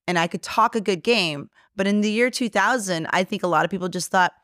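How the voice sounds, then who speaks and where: A woman's voice: medium pitch, brisk, fairly bright tone, no echo, clean studio sound. A woman in her forties whose first language is Polish, a guest on an interview podcast, talking in a sassy, brash way and swearing freely while chatting in a bar. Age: 40s. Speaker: podcast guest, brewery co-owner. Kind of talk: casual interview chat.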